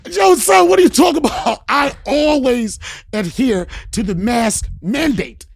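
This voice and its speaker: A man hosting a comedy podcast, freestyling jokey raps and bantering loudly in street slang. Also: very high voice, slow, vocal fry, long pauses, husky. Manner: loud, animated, swaggering street slang. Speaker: podcast host.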